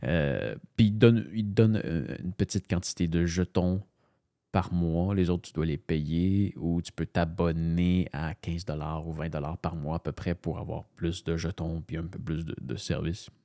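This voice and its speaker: Man with a deep, gravelly French-Canadian voice. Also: moderate pace, mellow, no echo, clean studio sound. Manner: rambling, many fillers and restarts, thinking aloud. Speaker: voice-over narrator. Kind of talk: casual screen-share commentary.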